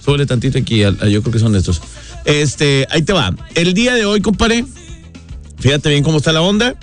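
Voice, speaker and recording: A high-voiced northern Mexican man in his thirties hosts a radio show over a music bed. He talks in an exuberant, slangy way and likes to repeat phrases for emphasis. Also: lively intonation, fairly quick. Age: 30s. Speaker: radio host. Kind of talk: lively casual radio show talk. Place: radio studio. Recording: radio broadcast with music underneath.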